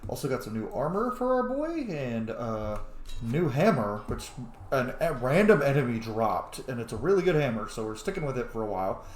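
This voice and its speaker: A man's voice: mid-range voice, sing-song, fairly quick. A man hosting a gaming series with casual, informal commentary and rambling asides. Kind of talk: casual let's-play commentary. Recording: echoey room, faint noise.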